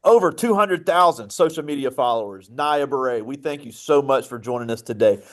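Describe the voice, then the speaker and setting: A man hosting a conversational interview with a guest, speaking as a teacher in a high school classroom. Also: animated, a little fast.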